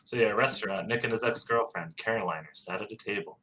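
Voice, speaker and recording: A man's voice: on the low side, quick pace, sharp tone, a little hiss or hum, low-quality recording. A man hosting a podcast table read, switching between casual chat and narrating stage directions.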